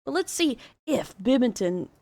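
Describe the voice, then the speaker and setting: A woman's voice: fairly high pitch, very animated, moderate pace, dark tone, dry recording. A woman with an Australian accent, chatting casually as a VTuber reacting to fan posts, laughing often and amused. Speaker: VTuber commentator.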